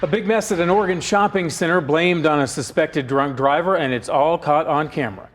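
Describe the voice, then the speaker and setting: A man's voice: on the high side, brisk. A man reading scripted TV news as an anchor.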